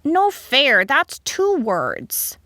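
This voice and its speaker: A woman's voice: a little high, big pitch swings, a little slow, dry recording, clean studio sound, pitched above the performer's own voice. A woman voicing eager, outspoken young schoolchildren from a storybook, with an exclaiming, childlike delivery. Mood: protesting.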